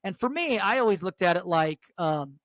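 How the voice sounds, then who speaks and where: A middle-aged man, a radio host, chatting casually and telling stories with a higher voice and lots of restarts. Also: animated, normal speed, a bit bright, no room sound, clean studio sound. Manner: chatty, storytelling, frequent restarts. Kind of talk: casual chat.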